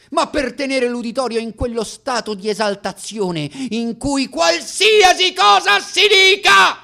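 A man's voice: extremely high pitch, vocal fry, pushed and bright, full character voice. A man playing a boastful, absurdist old-time Italian variety-theatre comedian in a declamatory, mock-solemn radio monologue. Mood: exalted, shouting. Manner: declamatory, grandiose, mock-solemn, playing with drawn-out and rattled phrases. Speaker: stage actor.

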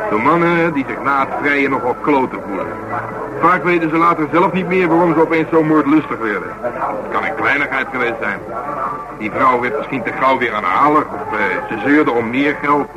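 An actor playing a burly, blunt, stubborn police detective in a radio play, talking gruffly and colloquially. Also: male voice, medium pitch, dull audio, lightly acted. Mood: insistent, earnest. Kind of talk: naturalistic radio play dialogue.

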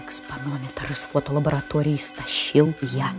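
A woman's voice: extremely low pitch, level tone, normal speed, over loud music. A woman playing an earnest, stubborn schoolboy in a Soviet children's radio play, talking in an insistent, conspiratorial way. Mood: confessing, serious.

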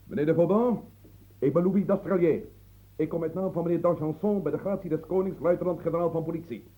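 An actor playing a formal police officer in a radio play, speaking in stiff official terms. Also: male voice, a little high, fast, dark tone, close to an everyday voice, poor audio.

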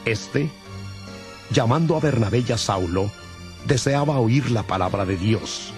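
A man reading scripted scripture narration in a solemn, measured way. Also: medium pitch, expressive. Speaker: Bible narrator. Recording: music under the voice.

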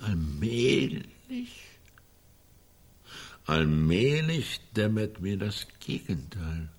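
A pragmatic older brother in a radio drama, middle-aged and sardonic. His voice is lowish and husky, and he swings widely in pitch as he hammers his points home in a dry, sarcastic way. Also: sing-song, drawn-out words, heavily acted. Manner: dry, sarcastic, emphatic repetitions. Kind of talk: German radio play acting.

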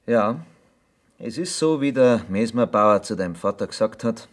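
An actor playing a knowing villager with a Bavarian accent, wry and probing, in a radio play. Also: male voice, fairly low pitch, animated, moderate pace, close to an everyday voice. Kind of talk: 1970s German radio play acting.

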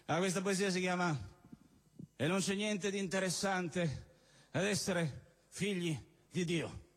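Male stage poet-comedian, high voice, theatrical declamation with sudden spoken asides. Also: unhurried. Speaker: stage poet and comic performer. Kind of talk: declaimed satirical spoken-word performance for a live audience. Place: live stage at a party show.

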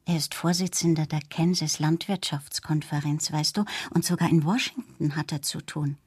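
A melancholy, withdrawn middle-aged mother in a radio drama. Her voice is lowish, very mellow and breathy. She speaks softly and wistfully, hesitating, in a dry close recording. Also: steady tone, moderate pace, no room sound. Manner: soft, wistful, hesitant, near whispering at times.

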